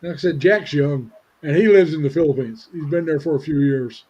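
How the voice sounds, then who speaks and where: Older male livestream host in his 70s, husky mellow voice, halting delivery, dull lo-fi call sound. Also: fairly high pitch. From Indiana, USA. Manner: rambling, halting with many restarts. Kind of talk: casual livestream chat. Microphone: video call.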